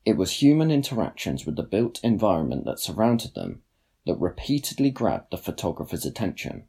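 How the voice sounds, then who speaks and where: A young man narrates a written piece in a low, creaky voice. His delivery is measured and formal, with careful phrasing and plenty of rise and fall in the intonation. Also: warm tone. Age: young adult. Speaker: student writer reading own blog post. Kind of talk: scripted narration, read aloud.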